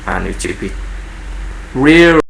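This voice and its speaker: A man's voice: mid-range voice, big pitch swings, slow, vocal fry, reverberant, noisy background. Nigerian man chatting on a livestream. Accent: Nigerian English. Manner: repeats words, mixes in Yoruba phrases. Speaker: host of a livestream channel. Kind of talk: casual live stream monologue.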